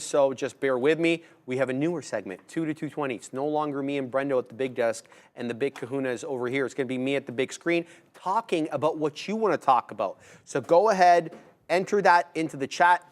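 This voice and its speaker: A young man with a slightly raised voice co-hosts a live trading show. He calls out price levels quickly and jokes with his co-host in loose trader slang. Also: a little high. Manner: energetic, jokey, uses trader slang. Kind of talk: live market commentary.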